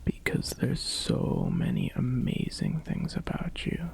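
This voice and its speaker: A man playing a tender, adoring boyfriend in an ASMR roleplay, speaking in soft, breathy, gentle whispers. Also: takes it slowly, close to an everyday voice. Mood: warm, reassuring. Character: tender, adoring boyfriend comforting a sad partner.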